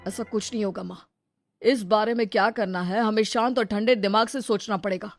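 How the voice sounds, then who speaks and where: A woman's voice: fairly even intonation, a little fast, no echo, studio quality, soft background music, close to an everyday voice. A woman voicing a young adult elder brother in a storybook drama, speaking firmly and earnestly. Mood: calm. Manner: firm, earnest, mostly low-key. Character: young adult male elder brother, protective and level-headed. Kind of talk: dramatized Hindi storybook dialogue.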